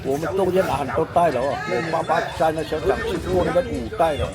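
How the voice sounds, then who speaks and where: A man's voice: fairly high pitch, quick pace. Older man, chatty. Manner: chatty, repeats words patiently to teach them. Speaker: local resident. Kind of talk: casual street chat. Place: street tea house. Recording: loud music and crowd.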